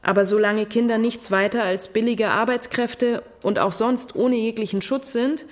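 A woman's voice: on the high side, fairly even intonation, moderate pace, lightly acted. A woman playing a stern but kindly middle-aged orphanage matron in an audio drama, grave and formal. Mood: grave, sorrowful. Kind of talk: German audio drama acting.

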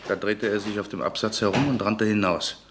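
A man's voice: fairly low pitch, fairly even intonation, fairly quick, noisy background, close to an everyday voice. An actor playing a brooding man waiting to take revenge, narrating his memories tensely in a radio play. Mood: grim. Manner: tense, grave inner monologue. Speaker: narrator and main character.

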